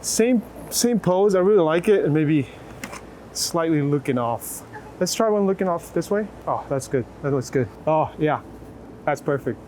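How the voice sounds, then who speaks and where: A man's voice: on the high side, lively intonation, normal speed, a little mellow, poor audio. A man working as a photographer outdoors in a city, chatting casually and encouragingly as he gives short, repeated posing instructions. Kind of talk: casual chat while directing portrait subjects. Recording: city street ambience and traffic noise.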